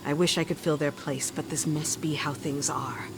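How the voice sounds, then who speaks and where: An actress playing a stern, unyielding military commander in a video game, with a firm and severe delivery. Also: female voice, deep voice, fairly even intonation, normal speed, noisy background. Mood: grave, weary. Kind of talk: video game dialogue acting. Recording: loud music behind voice.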